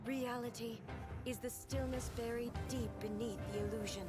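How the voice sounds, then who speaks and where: A woman playing a solemn, regal, otherworldly ruler in a dramatic game trailer, speaking in a slow, measured, grave way. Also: fairly high pitch, steady tone, close to an everyday voice. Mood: solemn, detached. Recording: loud music over the voice. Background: loud music.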